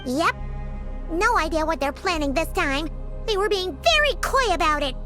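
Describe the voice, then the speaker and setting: An extremely high, squeaky little fairy girl in a video game. She is chirpy, childlike and excitable, and her pitch bounces around in a lively way. Music plays prominently behind her. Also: moderate pace. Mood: puzzled, casual. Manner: chirpy, exclaiming, talks about self in third person. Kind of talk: animated video game voice acting.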